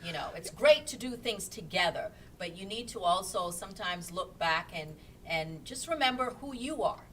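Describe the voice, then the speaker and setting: A woman's voice: lowish voice, animated, normal speed, fairly bright tone, slight background noise. Chatty woman on a distant webcam mic. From England. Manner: chatty, laughs often, reads viewer comments aloud. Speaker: co-host of a couple's live chat. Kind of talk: casual live-stream chat. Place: small room at home, indoors.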